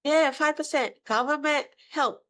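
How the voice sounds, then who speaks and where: A woman, her voice sitting a little high. Her English is broken and halting, full of "uh" and "right?" as she explains her work to an interviewer. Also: fairly bright tone. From Myanmar. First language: Burmese. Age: 50s. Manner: broken English with hesitations, emphatic tag questions. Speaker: guest, mortgage broker. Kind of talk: casual interview answers.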